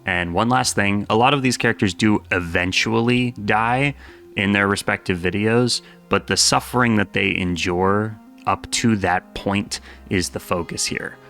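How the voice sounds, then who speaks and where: A man's voice: lowish voice, a little slow, creaky, a bit bright. A man narrating a scripted video essay as host, with a wry, conversational manner and casual asides. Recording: music under the voice. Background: background music.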